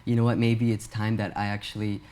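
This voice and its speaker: A man's voice: on the low side, little pitch movement, a little fast, mellow, clear, resonant tone. A young man from Canada chatting as a musician guest in an interview, talking hesitantly with many fillers and restarts. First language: Urdu. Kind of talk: casual interview chat.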